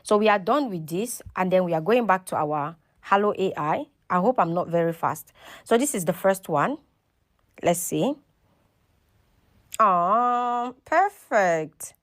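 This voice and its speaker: A woman's voice: on the low side, animated, a little slow. A woman hosting a casual step-by-step tutorial, talking instructionally and often checking in with "okay" and "right". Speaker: tutorial host.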